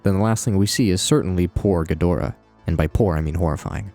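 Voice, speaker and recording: A man's voice: deep voice, fast, dark tone, soft background music. A man hosting a video essay, narrating in a conversational commentary style with wry asides.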